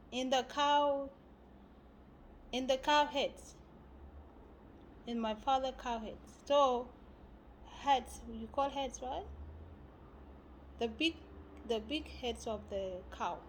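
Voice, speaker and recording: A woman from South Sudan giving a spiritual talk, often pausing and searching for words. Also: high-pitched, slight background noise, low-quality recording. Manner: halting, searching for words with many fillers. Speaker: spiritual teacher.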